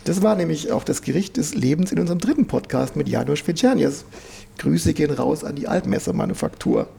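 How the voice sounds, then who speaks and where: A man's voice: on the high side, big pitch swings, brisk, creaky, dark tone, slight background noise. A man hosting a podcast interview, chatty and prompting his guests with short affirmations. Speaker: podcast host. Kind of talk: casual interview chat.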